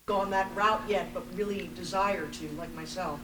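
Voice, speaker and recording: A woman asking a rambling, informal question from the audience, effusive, halting and self-deprecating, picked up in a convention room. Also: lowish voice, steady tone, a crowd in the background. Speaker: audience member asking a question, acting teacher. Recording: distant, roomy, noisy hall pickup.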